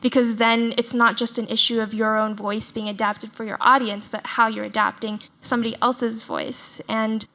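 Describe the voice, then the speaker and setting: A woman's voice: fairly high pitch, level tone, normal speed, hardly any pauses, pushed and bright, poor audio. A woman author and translator from Texas who first spoke Chinese, giving thoughtful, academic-sounding answers in an interview. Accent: adaptable American, mirrors people around her. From Texas, United States. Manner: articulate, reflective, academic phrasing.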